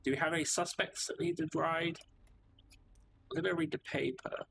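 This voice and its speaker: A man's voice: fairly high pitch, steady tone, moderate pace, creaky, background noise. A man streaming a narrative game live, reading the narration aloud with casual asides of his own. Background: game music.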